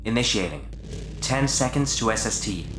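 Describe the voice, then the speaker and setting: A man's voice: fairly low pitch, expressive, normal speed, natural voice. A man playing a precise, literal-minded spaceship crewmate, speaking matter-of-factly in a scripted audio drama. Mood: procedural. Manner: matter-of-fact, exacting. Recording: roomy echo, faint background noise.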